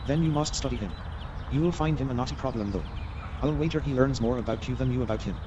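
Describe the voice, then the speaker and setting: A man's voice: mid-range voice, fast, natural voice. A man playing a wry, teasing, chatty friend in a comic radio play. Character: wry, chatty male friend making introductions. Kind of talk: comic stage-style radio play acting. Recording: dull lo-fi sound, noisy background.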